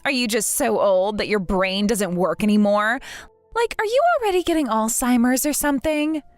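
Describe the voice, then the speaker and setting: A woman in her late twenties voicing a spiteful, bratty younger sister with a high, sneering, mocking voice. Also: animated, moderate pace, dry recording, faint music behind, lightly acted. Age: late 20s.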